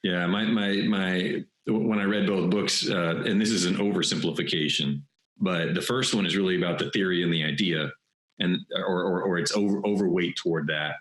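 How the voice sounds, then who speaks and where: A man's voice: deep voice, fairly even intonation, normal speed, fairly bright tone, reverberant, poor audio. A man hosting an interview, casually summing up his guest's books and stammering a little at the start of his sentences. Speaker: host.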